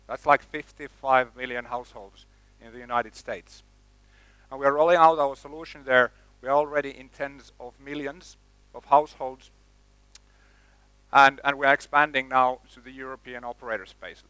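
Bright-voiced Finnish man giving a tech talk. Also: mid-range voice, smooth, no echo, low-quality recording. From Finland. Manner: plain, with frequent restarts and repeated words. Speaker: conference speaker. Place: conference hall stage with projector screen. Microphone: headset mic.

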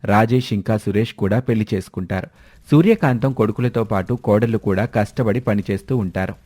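A man's voice: on the low side, brisk, warm tone. A man narrating a scripted moral story.

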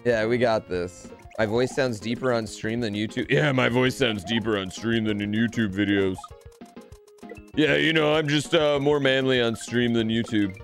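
This is a man streaming games from a room at home, with a lowish voice that often crackles into fry. He talks casually to his chat in excitable, sweary slang. Faint game music plays behind his close-miked commentary. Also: normal speed, few pauses. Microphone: close desk microphone on an arm. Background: video game music.

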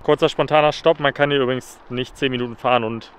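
A young man chatting excitedly and casually as a travel vlogger on a road trip, with plenty of slang. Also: medium pitch, quick pace. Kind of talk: casual travel vlog chat. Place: outdoors by a car on a road trip.

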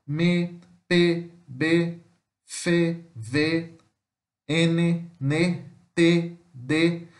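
Brazilian guy teacher, slightly high voice, reading slides n explaining, kinda halting, repeats stuff, echoey room. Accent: Brazilian Portuguese. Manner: halting, repetitive, frequent tag questions to check understanding. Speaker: language teacher. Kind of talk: informal lesson, reading slides aloud and explaining. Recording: roomy, echoing voice-over.

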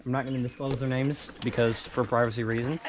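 A man's voice: medium pitch, little pitch movement, fast talker. A young man, chatting casually and joking while riding four-wheelers outdoors on a farm. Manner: joking, calls out to riders. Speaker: vlogger. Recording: loud engine and wind noise.

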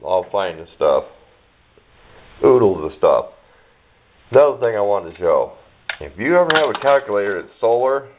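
A middle-aged man with a fairly low voice talks casually through a show-and-tell, rambling along in a folksy, offhand manner. The sound is thin and phone-like, picked up by a camera's own microphone with some faint noise. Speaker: hobbyist showing a parts collection. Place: indoor workbench. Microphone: handheld camera's built-in microphone. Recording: muffled phone-like sound with hiss, clicks.

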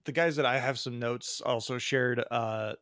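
A man's voice: mid-range voice, normal speed, no room sound. Chatty man on a dry podcast mic. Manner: animated, swearing, laughing storyteller. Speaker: podcast co-host. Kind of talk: casual chat.

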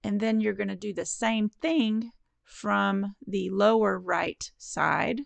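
Crafty lady walking you thru folds, gravelly fry in her voice, friendly and encouraging, dry close sound. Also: mid-range voice, fairly even intonation, slow, no room sound. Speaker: card-making crafter. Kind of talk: step-by-step craft tutorial voice-over.

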